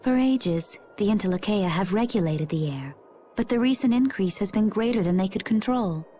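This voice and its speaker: A woman's voice: mid-range voice, lively intonation, moderate pace, dark tone, faint music behind, lightly acted. A woman playing a serene, composed, mysterious young woman in a fantasy video game cutscene. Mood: serene, explanatory. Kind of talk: English game cutscene voice acting. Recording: faint ambient noise behind.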